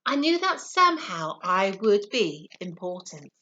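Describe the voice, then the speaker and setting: Woman reading as a bright, lively little storybook pea. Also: mid-range voice, measured pace, highs cut off. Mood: earnest, confiding. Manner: expressive, confiding storybook narration. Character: small earnest storytelling pea, eager to help. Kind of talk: first-person children's story reading.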